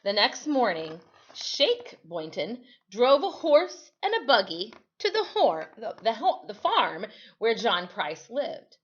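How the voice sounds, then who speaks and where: A woman reads a history story aloud like a teacher at storytime. Her pitch moves around a lot, giving the telling an animated, expressive feel. The recording is slightly muffled and lo-fi. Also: slow pace, husky. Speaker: storyteller reading aloud to children.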